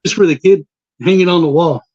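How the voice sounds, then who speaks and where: A man from West Texas chatting casually on a livestream, showing off items from his collection in a relaxed, drawling way. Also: a little high, a little fast, dry recording. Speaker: comic collector guest. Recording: dull, muffled lo-fi mic.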